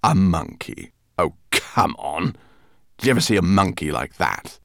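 A man doing a calm, wry old scholar. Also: sits low, a little slow, vocal fry, dry recording, studio quality, exaggerated voice. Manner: gentle, quietly amused. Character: calm, wry male professor who knows about magical creatures. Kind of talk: audiobook character voice.

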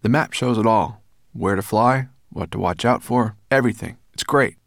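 A man's voice: deep voice, fairly quick, dry recording, studio quality. A man voicing a shy, friendly boy in a storybook, polite and eager. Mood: excited.

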